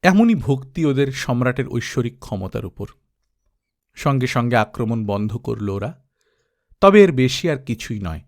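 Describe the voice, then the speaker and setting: A man reading an adventure novel aloud as narrator, steady and dramatic. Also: medium pitch, normal speed. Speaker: audiobook narrator. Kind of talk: storybook reading.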